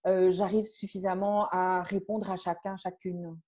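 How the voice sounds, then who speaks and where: A woman, a nutrition coach interviewing a client with gentle, searching questions. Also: fairly low pitch, little pitch movement, measured pace.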